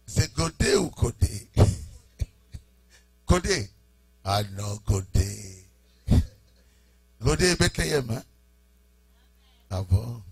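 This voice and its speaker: A man pastor greeting a church congregation in French, speaking in short phrases and pausing for his interpreter. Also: medium pitch, big pitch swings, husky, dry recording. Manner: slow, pausing phrase by phrase for the interpreter, chuckling warmly. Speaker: preaching pastor. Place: church hall.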